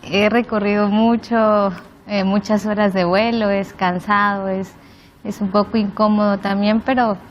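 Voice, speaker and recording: A woman's voice: mid-range voice, flat delivery, measured pace, muffled recording, a little hiss or hum. A woman with a Guatemalan accent introducing herself as a guest participant on a panel. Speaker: guest participant, dental surgeon.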